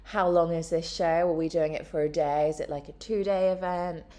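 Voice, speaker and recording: Woman host asking questions, low voice, upbeat, encouraging. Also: steady tone, normal speed, warm tone. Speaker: interviewer. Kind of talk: casual interview questions. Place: indoor room, in person.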